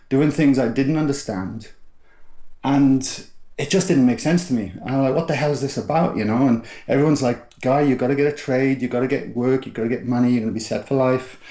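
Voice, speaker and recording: A man's voice: mid-range voice, moderate pace, dark tone, roomy sound. A middle-aged man from South Wales with a Welsh accent, a podcast guest telling his life story in a rambling, restarting way. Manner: rambling, frequent restarts and fillers. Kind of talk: casual storytelling interview.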